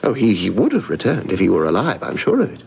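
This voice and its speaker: A man playing a nervous, reclusive English gentleman, halting, formal and fretful, in old-fashioned radio mystery acting. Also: fairly low pitch, huge pitch swings, vocal fry, a little hiss or hum, exaggerated voice. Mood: melancholy. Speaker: radio drama actor. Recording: muffled vintage radio recording.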